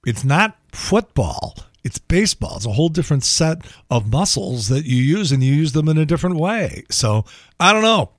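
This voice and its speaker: Gravelly-voiced American man, wry and casual. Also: on the high side, very animated, normal speed, dry recording, clean studio sound. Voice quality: gravelly, thinning voice. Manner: wry, colloquial, profane. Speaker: podcast host. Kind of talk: casual solo monologue on news and sport.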